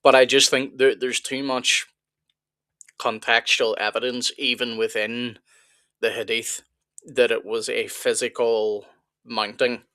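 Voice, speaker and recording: Male host, mid-pitch, debating on a live stream with a dry close-mic sound. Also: level tone, measured pace, bright, forceful tone, no room sound. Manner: argumentative, restarts and repeats words. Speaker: apologetics channel host. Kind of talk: live stream reaction chat.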